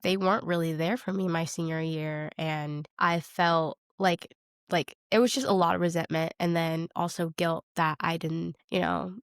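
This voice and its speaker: A woman's voice: lowish voice, fairly even intonation, moderate pace, clear, resonant tone, no echo, clean studio sound. A young woman co-hosting a podcast, talking about films in a casual, candid way with youthful slang. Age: young adult. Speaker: podcast co-host. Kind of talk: casual film discussion chat.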